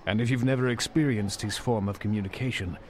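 An actor playing a wise, grave old Jedi master in an audio drama, speaking in a measured, mentoring way. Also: male voice, fairly low pitch, slight background noise, natural voice. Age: older.

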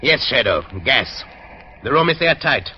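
An actor playing a cold-blooded, urbane doctor villain in an old-time radio drama, speaking with measured, polite menace and deliberate hesitations. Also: male voice, mid-range voice, animated, natural voice. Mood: gloating threat. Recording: dull, noisy old radio recording.

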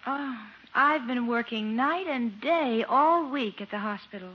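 A woman's voice: on the high side, a little slow, slightly rough. A woman playing a fond, apologetic nurse in a 1950 radio sitcom. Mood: apologetic, concerned. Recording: muffled, hissy old radio recording.

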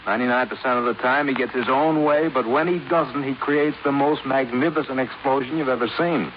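A man's voice: medium pitch, brisk, close to an everyday voice. An actor playing a spoiled, sardonic son in his twenties, glib and mocking, in 1950s radio drama style. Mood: gleefully mocking. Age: 20s. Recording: noisy muffled 1950s radio recording.

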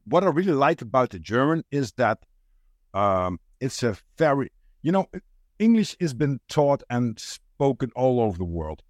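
A man's voice: medium pitch, very animated, dry recording, clean studio sound. A man from the Netherlands with a Dutch accent, hosting a casual chat, often searching for words and restarting. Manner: halting, many restarts and fillers, searches for words. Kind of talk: casual conversation.

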